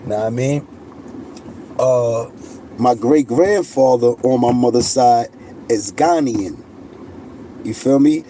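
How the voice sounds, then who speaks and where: A man's voice: medium pitch, slow, long pauses, warm tone, slight background noise. A middle-aged man, talking in a casual monologue full of street slang. He speaks insistently and keeps checking in with "you know what I mean". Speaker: channel host.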